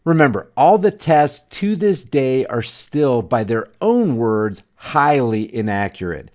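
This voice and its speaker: Animated, sarcastic male commentator. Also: mid-range voice, slow. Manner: sarcastic, emphatic.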